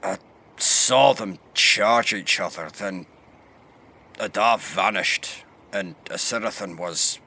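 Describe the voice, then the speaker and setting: A man playing a grave warrior in a fantasy audio drama, serious and deliberate in his delivery. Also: fairly low pitch, pushed and bright, full character voice. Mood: puzzled. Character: grave male warrior reporting from battle.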